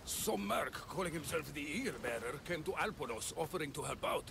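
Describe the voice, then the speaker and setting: Male village chief, game cutscene: blunt, sardonic, high voice, highly animated. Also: a little fast, creaky, music in the background. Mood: worried, bitter. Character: exasperated male leader of a besieged ancient Greek village. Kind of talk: video game cutscene acting.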